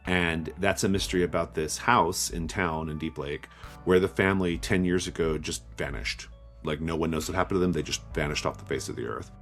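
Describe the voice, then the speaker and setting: A man's voice: extremely low pitch, steady tone, brisk, fairly bright tone, faint music behind. A man speaking as an interview guest and game designer, explaining things step by step in a conversational way and often checking in as he goes.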